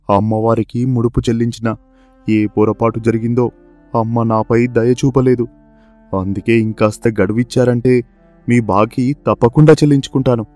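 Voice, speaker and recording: A man voicing a poor, indebted farmer who begs his landlord deferentially for more time, for an animated story. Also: medium pitch, no room sound, close to an everyday voice. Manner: pleading, deferential.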